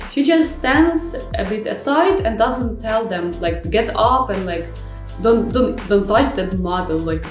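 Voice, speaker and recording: A woman's voice: a little high, darkish tone. Chatty Ukrainian-accented young woman vlogging. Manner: chatty, frank, non-native grammar with many fillers. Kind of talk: casual vlog monologue. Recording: echoey room with faint music.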